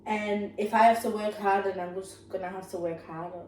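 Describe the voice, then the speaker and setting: A young woman chatting thoughtfully and softly as a podcast co-host. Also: medium pitch, steady tone, a little fast. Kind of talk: casual chat. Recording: echoey room, mic far off, faint noise.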